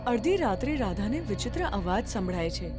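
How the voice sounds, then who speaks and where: A woman's voice: medium pitch, big pitch swings, fairly quick. A woman narrating a scripted children's story as its storyteller. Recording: music bed behind narration.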